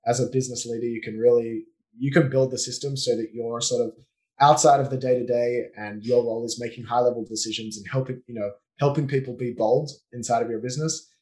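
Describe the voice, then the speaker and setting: A man's voice: mid-range voice, fast, poor audio. Australian man chatting as podcast guest. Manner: relaxed, explanatory, frequent fillers and restarts. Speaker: podcast guest, business owner. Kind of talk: casual conversational interview.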